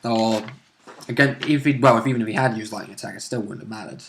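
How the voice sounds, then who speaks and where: A man's voice: fairly low pitch, fast talker, creaky, fairly bright tone, a little hiss or hum. A man co-hosting a tabletop roleplay vidcast, chatting casually and reacting informally to the game. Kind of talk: casual chat.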